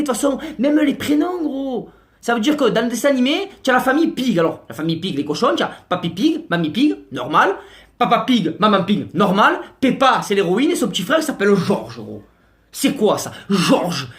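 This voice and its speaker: A man doing a slangy, exasperated comic rant to camera. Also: sits high. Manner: slangy, exasperated, mock-outraged. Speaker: comic vlogger.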